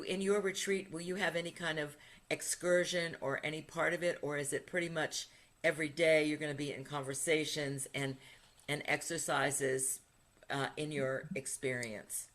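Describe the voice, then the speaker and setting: A woman's voice: sits low, fairly bright tone. A woman hosting a podcast interview. She is chatty and upbeat, and she laughs while she tells stories. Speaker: podcast host, retreat leader. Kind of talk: casual interview conversation.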